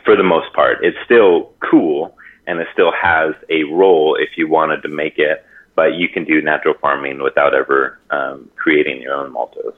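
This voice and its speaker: A man from Hawaii explaining things casually and haltingly, pausing often mid-sentence, over a call. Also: very deep voice. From Hawaii, United States. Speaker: interview guest, natural farming expert. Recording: narrow tinny phone-like line.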